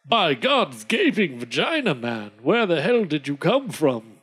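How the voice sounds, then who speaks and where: A man's voice: on the high side, very animated, unhurried, fairly bright tone, breathy edge, full character voice. A man voicing a pompous, blustering English country squire who bellows and harumphs. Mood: bluff. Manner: bellowing, huffy, full of harumphs.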